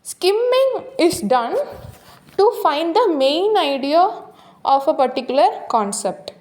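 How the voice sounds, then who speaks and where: A woman's voice: high voice, darkish tone. A woman with a South Indian accent teaching, working through exam questions and explaining answers step by step, with small checking tags. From Tamil Nadu, India. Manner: explanatory, checks with "right?" and "okay". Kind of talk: exam question walkthrough lesson.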